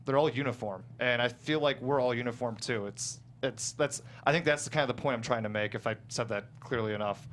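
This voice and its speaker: A man talking casually as a guest screenwriter, explaining his work in a halting, self-correcting way. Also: mid-range voice, a bit bright. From small town in the United States. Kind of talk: casual panel discussion of own script. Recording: clear background noise and hum.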